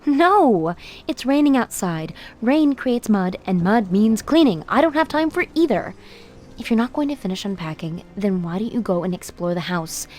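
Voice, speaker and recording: Woman as an exasperated, busy mom, lively pitch. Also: moderate pace, lightly acted. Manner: exasperated, firm. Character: busy, irritated mother, brisk and dismissive. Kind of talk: video game cutscene voice acting with tutorial instructions.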